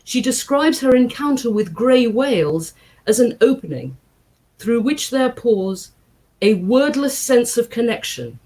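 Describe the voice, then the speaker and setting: Expressive woman reading aloud, lively intonation. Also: a little high, unhurried. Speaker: guest reader at a synagogue service. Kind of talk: expressive book reading with short spoken introduction.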